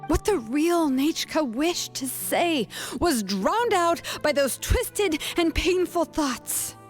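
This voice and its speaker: An actress playing a resolute, compassionate young craftswoman in a video game, with a measured, earnest delivery. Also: sits high, animated, faint music behind. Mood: solemn reproach. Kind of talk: dramatic video game voice acting.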